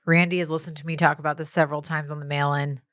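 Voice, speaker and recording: Woman with a somewhat deep voice. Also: flat delivery, fast talker, a bit bright, no room sound, clean studio sound. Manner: chatty, playful, self-deprecating. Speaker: podcast co-host. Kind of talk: casual podcast chat.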